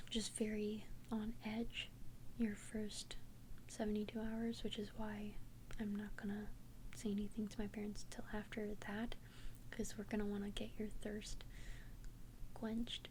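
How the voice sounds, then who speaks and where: A woman playing a protective vampire best friend in a whispered ASMR roleplay, speaking breathily in a soothing, halting, reassuring way. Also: medium pitch, darkish tone, slight background noise, lightly acted, low-quality recording. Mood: earnest, protective.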